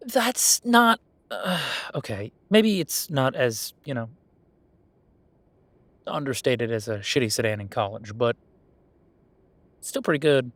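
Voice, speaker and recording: A man's voice: medium pitch, animated, takes it slowly, long pauses, a bit bright, no echo. A man playing a friendly, self-deprecating young billionaire in a casual, intimate audio roleplay, rambling informally and playfully defensive as he talks to the listener.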